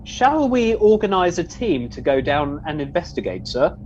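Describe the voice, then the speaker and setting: A woman's voice: low-pitched, very animated, moderate pace, slight background noise. A woman plays a courteous, languid deputy officer in a radio comedy. Her voice lilts widely on a dull, lo-fi recording. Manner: polite, gently organising. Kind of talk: comic radio play acting.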